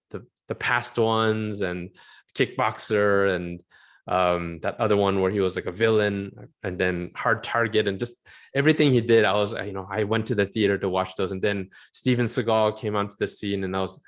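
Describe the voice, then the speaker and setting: Low-voiced animated man in his forties. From Southern California. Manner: animated, rambling, laughs often. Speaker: interview guest, film concept artist. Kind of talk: casual interview chat.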